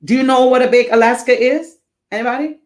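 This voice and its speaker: A woman's voice: high voice, fast. Playful Jamaican woman hosting a stream. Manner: playful, teasing and chatty, cheering on the audience. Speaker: event host.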